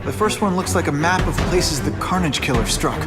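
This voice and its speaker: An actor playing a young, good-hearted, wisecracking superhero in a video game, wry and conversational. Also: male voice, a little high, animated, brisk, close to an everyday voice. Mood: wry, determined. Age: young adult. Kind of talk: video game cutscene acting. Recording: loud music under the voice.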